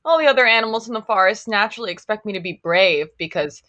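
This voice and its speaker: A woman's voice: mid-range voice, animated, quick pace, pushed and bright. A woman playing a cowardly, melancholy lion in a live table read, plaintive and self-pitying. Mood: sheepish. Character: cowardly, melancholy lion lamenting his lack of courage. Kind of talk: live table read of a children's fantasy story.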